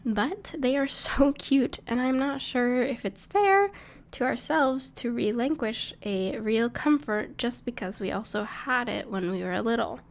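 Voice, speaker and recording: Woman acting a gentle, creaky-voiced cuddly dragon girl. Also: a little high, lively intonation, unhurried. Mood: earnest, wistful. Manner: tender, reassuring, playful, slightly hesitant. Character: gentle, cuddly, bashful female dragon in human form, plushie lover. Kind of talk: soft-spoken intimate audio roleplay to the listener.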